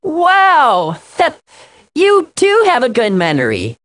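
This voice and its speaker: A woman's voice: sits high, huge pitch swings, slow, a bit bright, dry recording, exaggerated voice. A woman voicing an earnest little male animal in a children's learning game, with a precise, fussy manner. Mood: curious. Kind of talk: children's educational game acting.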